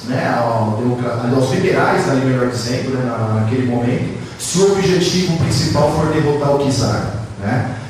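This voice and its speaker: A man's voice: mid-range voice, fairly even intonation, normal speed, a little mellow. A Brazilian man giving an academic lecture at a conference panel in a discursive manner, often adding short tag questions. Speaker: academic speaker. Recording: very echoey hall, distant mic, faint noise.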